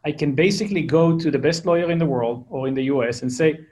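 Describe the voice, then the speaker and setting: A man's voice: a little high, fast talker, darkish tone. A man in his forties from Israel with an Israeli accent, a founder being interviewed, talking casually and repeating words as he restarts. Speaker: guest, company founder.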